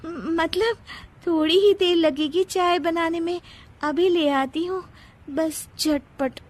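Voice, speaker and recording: A woman's voice: very high-pitched, fairly even intonation, slow pace, a little mellow. A woman voicing a lazy, cheeky young daughter-in-law in a cartoon family story, whiny and nonchalant. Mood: reluctant.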